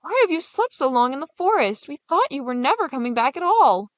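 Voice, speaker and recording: A woman voicing a hard, selfish stepmother from a fairy tale, bossy, sharp-tongued and scornful. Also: high voice, big pitch swings, a little fast, no room sound, pitched above the performer's own voice. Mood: scolding.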